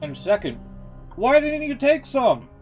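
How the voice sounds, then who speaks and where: A man's voice: extremely high pitch, huge pitch swings, measured pace, darkish tone. A man giving casual, joking reaction commentary as a streamer, with a wry manner. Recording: music behind the voice. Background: music.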